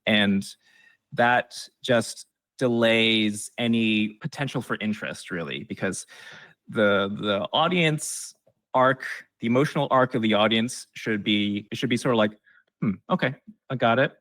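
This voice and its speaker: A man with a fairly low voice talks casually as an expert guest giving advice. He is conversational and slips into short acted-out reactions of an imagined audience. The recording is dry, with very little room sound. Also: measured pace, a bit bright, dry recording. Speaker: game trailer expert, interview guest. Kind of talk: casual explanatory interview talk with advice.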